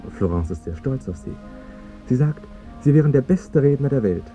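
Man as cheerfully tactless fiance in old 50s radio play, very mellow voice, swings up and down a lot, polite n chatty. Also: mid-range voice, animated, normal speed, lightly acted. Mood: admiring, earnest. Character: courteous, guileless male fiancé, cheerfully tactless and eager. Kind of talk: 1950s German radio drama acting. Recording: dull 1950s radio recording with music.

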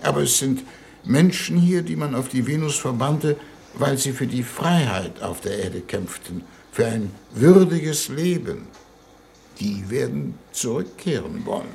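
An actor playing a solemn, pompous statesman on a diplomatic mission in a 1950s radio play, speaking formally and haltingly. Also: mid-range voice, expressive, gravelly, darkish tone. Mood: solemn, insistent. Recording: noisy 1950s radio recording.

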